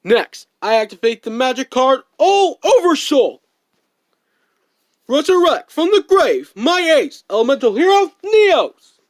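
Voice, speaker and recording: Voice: high voice, big pitch swings, measured pace, dry recording. An amateur voice actor declaiming card game duel lines in a theatrical anime fan-dub style.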